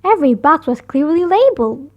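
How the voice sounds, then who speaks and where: A woman reads a children's story aloud as narrator. Her voice is high, and her intonation rises and falls in a very lively way. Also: a little fast, highs cut off.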